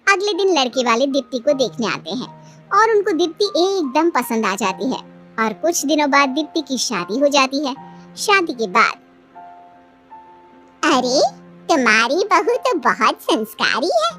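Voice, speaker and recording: A woman narrating a scripted family story in an animated storytelling way. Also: high-pitched, normal speed, faint music behind.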